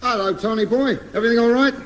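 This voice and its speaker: Shady boxing manager, very noisy. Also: male voice, extremely high pitch, fast talker. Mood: bossy. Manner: brusque, commanding. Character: bossy, shady male boxing manager, scheming and impatient. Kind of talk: 1950s radio sitcom acting. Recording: very noisy, reverberant old radio recording.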